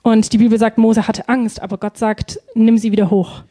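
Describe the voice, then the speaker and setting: Woman, sermon interpreter, higher pitch, mellow tone, phrase-by-phrase delivery, hesitant with ums. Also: flat delivery, moderate pace. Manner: hesitant, feeling for words with frequent ums. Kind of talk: live consecutive interpreting of a sermon.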